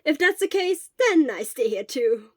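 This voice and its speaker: An excitable teenage schoolboy from an audiobook story, played by a woman. The voice is high and loud, with a whiny, theatrical edge and lots of exclamation. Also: unhurried, no echo, studio quality. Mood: concerned. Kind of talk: acted audiobook dialogue.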